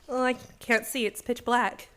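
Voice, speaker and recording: A woman playing a bold, curious young lady in a radio play, brisk, arch and dryly teasing. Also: on the high side, level tone, a bit bright, a little hiss or hum. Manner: brisk, arch, self-possessed.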